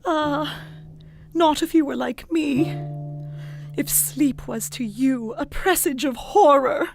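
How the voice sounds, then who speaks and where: An actress playing a frail, trusting young Victorian lady patient in a radio play, plaintive and halting. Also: high voice, creaky, heavily acted. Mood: weary dread. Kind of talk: dramatic radio play acting. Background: soft guitar music.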